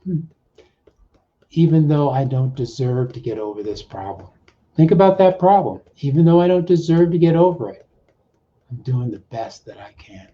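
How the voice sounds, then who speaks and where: A man working as a coach, leading a guided self-help exercise in short, deliberate, repeated phrases with pauses between them. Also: fairly high pitch, lively intonation. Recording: dull, muffled lo-fi audio.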